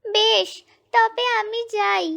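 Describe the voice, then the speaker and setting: A woman's voice: extremely high pitch, fairly even intonation, slow, creaky, fairly bright tone, full character voice. A woman voicing a kind, dutiful young village girl in a cartoon folk tale, speaking in a careful sing-song way. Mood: caring.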